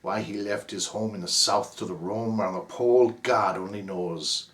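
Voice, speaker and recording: A man's voice: lowish voice, steady tone, moderate pace, a bit bright. A man with an Irish accent reciting a ballad as the narrator Cap, in a rhythmic, theatrical delivery. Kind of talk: dramatic poem recitation.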